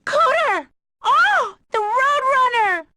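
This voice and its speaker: A woman's voice: extremely high pitch, lively intonation, slow, bright, forceful tone, slight background noise, heavily acted. An actress playing a spirited, friendly young country woman with a Southern US accent in a game. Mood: delighted, grateful. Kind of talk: lively game character acting.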